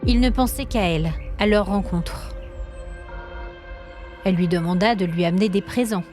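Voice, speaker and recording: A woman storyteller reading scripted dramatic narration in an expressive, suspenseful manner. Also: medium pitch, moderate pace, faint music behind.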